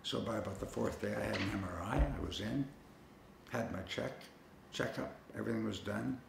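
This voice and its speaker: A man's voice: fairly low pitch, brisk, creaky, reverberant, background noise. A man telling the story of his own illness in a plain, conversational way, with a few restarts. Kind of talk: personal storytelling to camera.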